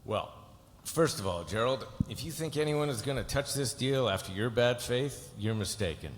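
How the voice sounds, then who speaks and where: A man's voice: medium pitch, lively intonation, moderate pace, lightly acted. A man playing a cocky, slick, unflappable corporate lawyer in a live script read, assured and cutting. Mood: firm, confident. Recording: echoey hall, noisy room sound.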